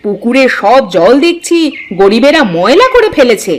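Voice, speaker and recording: A woman's voice: on the high side, sing-song, normal speed, a little hiss or hum. A woman voicing a scornful, quarrelsome rich wife in a village cartoon. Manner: scornful, scolding, insulting. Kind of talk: cartoon village drama acting.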